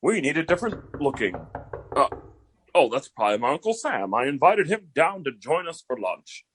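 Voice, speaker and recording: Casting: man for hesitant comic client, fussy and doubtful, animated intonation, slightly noisy recording. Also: fairly high pitch, moderate pace. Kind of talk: comic sketch dialogue.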